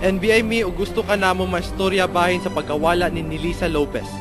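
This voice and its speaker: A man acting high-voiced, insistent Filipino detective. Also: level tone, brisk, natural voice. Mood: businesslike, probing. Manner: insistent, questioning, authoritative. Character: stern, pressing male lead police detective. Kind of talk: melodramatic radio drama acting. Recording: music under the voice.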